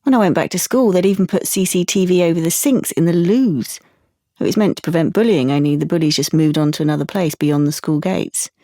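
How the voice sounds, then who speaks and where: A withdrawn young British woman tells her story in an intimate audio drama monologue. Her voice is fairly low with a creaky edge, recorded dry and close, and she sounds haunted and hesitant. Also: brisk, warm tone, dry recording. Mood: troubled, reflective. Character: withdrawn, haunted young woman traumatised by surveillance and a leaked photo.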